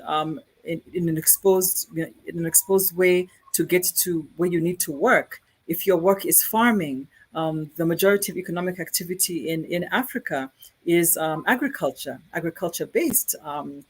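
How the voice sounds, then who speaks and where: A guest speaker from Kenya with a Kenyan accent, answering questions in a halting way and often repeating words while explaining. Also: mid-range voice, husky, rough recording. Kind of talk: answering questions at an online conference.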